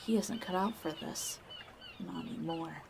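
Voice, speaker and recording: A woman's voice: medium pitch, wildly swooping pitch, a little slow, background noise. A woman narrating a scripted audio drama as storyteller.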